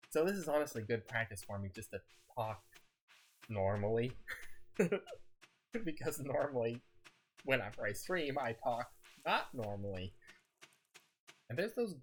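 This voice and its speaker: A streamer chatting casually while playing, rambling and laughing often, a little sick with a scratchy throat. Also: mid-range voice. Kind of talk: casual gameplay chat.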